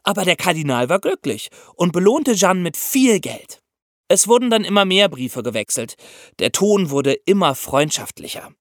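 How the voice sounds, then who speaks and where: A man hosting a podcast, telling history in a conversational, expressive storytelling style for young listeners. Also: high voice, moderate pace, dry recording, clean studio sound. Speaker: podcast host.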